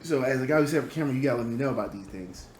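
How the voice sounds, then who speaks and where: A man co-hosting a podcast in casual chat, animated and quick to laugh, his voice rising when he teases. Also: medium pitch, slight background noise. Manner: animated, laughs often, raises voice when teasing. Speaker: podcast co-host. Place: studio.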